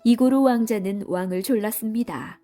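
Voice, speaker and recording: A woman's voice: medium pitch, normal speed, warm tone, faint music behind. A woman storyteller giving scripted storybook narration for children.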